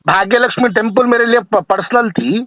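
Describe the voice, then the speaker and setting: A man's voice: sits high, fairly quick, dry recording. Tinny phone-line Hyderabadi man, dry sound. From Hyderabad, India. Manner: defensive, emphatic, repeats himself. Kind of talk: argumentative phone conversation. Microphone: telephone. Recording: tinny, band-limited recorded phone call.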